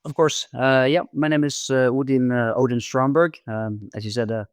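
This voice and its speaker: A man in his twenties with a Norwegian accent, chatting casually as a podcast guest, halting often with ums and repeated words. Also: mid-range voice, steady tone, clean tone, dry recording. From Norway. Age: 20s. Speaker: podcast guest, amateur marathon runner. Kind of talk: casual interview chat.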